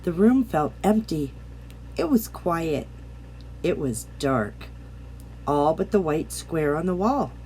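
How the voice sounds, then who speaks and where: A woman reads a bedtime storybook in a gentle, unhurried way. Her lowish voice rises and falls freely, with dramatic pauses, over a slight background hum. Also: animated, low-quality recording. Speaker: storybook reader. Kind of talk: expressive children's bedtime read-aloud.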